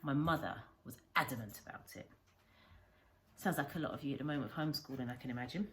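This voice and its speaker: A woman reading a children's story aloud like a schoolteacher, with deliberate phrasing and dramatic emphasis. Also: extremely low pitch, fairly bright tone, a little hiss or hum.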